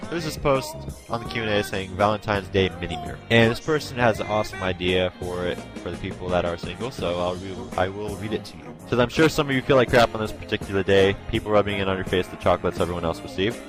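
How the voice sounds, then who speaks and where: Low-voiced young man hosting a podcast. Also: quick pace, hardly any pauses, music in the background. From Oregon, USA. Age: 20s. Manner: chatty, joking, reads listener posts aloud. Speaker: podcast host. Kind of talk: casual group podcast chat.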